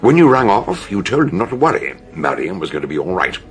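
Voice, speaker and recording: Older British detective doctor, low-pitched, very animated, theatrical storyteller, radio drama. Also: male voice, quick pace. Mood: shrewd, intrigued. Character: shrewd, theatrical older male detective doctor explaining a murder.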